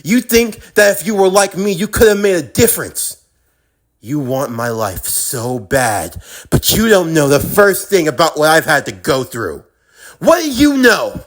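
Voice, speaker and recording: A man's voice: on the high side, very animated, a little slow. A man playing a defiant, bitterly sarcastic young man in an intense anime-style drama, with a profane, taunting, emphatic delivery.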